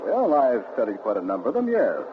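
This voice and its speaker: A man's voice: mid-range voice, huge pitch swings, quick pace. An actor playing a genial, bookish male professor with a musing, hesitant manner in a 1940s radio drama. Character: genial, bookish male English professor, amateur crime buff. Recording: tinny, very noisy 1940s radio recording.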